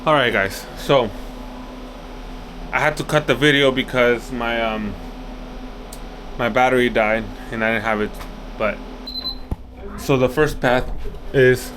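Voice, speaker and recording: A man's voice: mid-range voice, fairly even intonation, a little slow, pauses often, noisy background. A man giving an unscripted hands-on walkthrough as an installer, instructive and thinking aloud, often repeating his phrases. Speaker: access control installer and video host. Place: indoors at an office job site.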